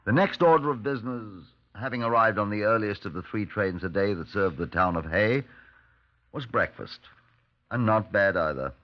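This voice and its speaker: A man's voice: fairly low pitch, expressive, moderate pace, close to an everyday voice. An actor playing a dry, skeptical Scotland Yard superintendent in 1950s radio drama style, wry and understated as he questions and narrates. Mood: wry narration. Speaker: detective and narrator. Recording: dull old radio recording.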